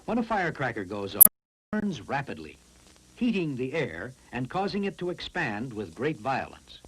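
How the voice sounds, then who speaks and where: A man reads scripted science narration for a classroom film, explaining in a deliberate, instructive way. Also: medium pitch, wildly swooping pitch, slight background noise, rough recording.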